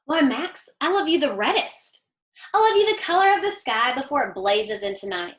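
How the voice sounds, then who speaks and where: Lady reading as a sweet loving mama from a kids book, tender, high voice, very lilting. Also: very animated, moderate pace, echoey room. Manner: tender, admiring. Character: gentle, loving mother praising her young sons.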